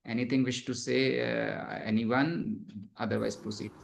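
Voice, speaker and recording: A man giving an academic webinar lecture over a video call, explaining earnestly and haltingly, with many fillers. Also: medium pitch, steady tone, vocal fry.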